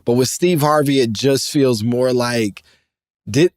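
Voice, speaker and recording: A man co-hosting a comedy podcast, chatting casually with profane asides, frequent laughs and halting fillers. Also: medium pitch, darkish tone, dry recording, clean studio sound. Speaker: podcast co-host.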